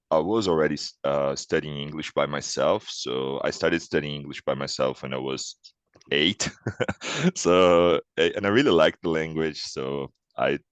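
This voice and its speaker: A young man in his twenties from Brazil with a Brazilian Portuguese accent, answering interview questions casually and thoughtfully, with restarts and soft laughs. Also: a bass voice, smooth, no echo.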